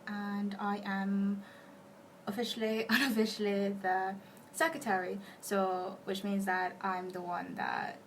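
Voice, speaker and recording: A twenty-one-year-old woman answers interview questions at an unhurried pace. She is playful and now and then drops to a whisper. Faint noise sits in the background. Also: medium pitch, flat delivery. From Malaysia. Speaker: fan base admin.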